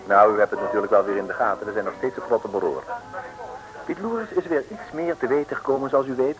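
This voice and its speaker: A man's voice: on the low side, close to an everyday voice, poor audio. A man narrating an early 1960s radio crime serial as a wry storyteller, speaking dramatically and straight to the listeners. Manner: dramatic, tongue-in-cheek, addressing listeners directly. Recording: hissy old radio recording.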